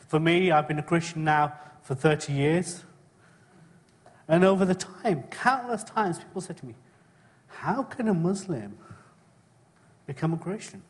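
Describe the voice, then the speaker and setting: A middle-aged man who was born in Kenya and raised in England, preaching a sermon about his own testimony in a conversational way, often asking his listeners tag questions and clearing his throat. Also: a little high, animated, a little slow, pauses often, in a large hall. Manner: conversational, asks the congregation frequent tag questions.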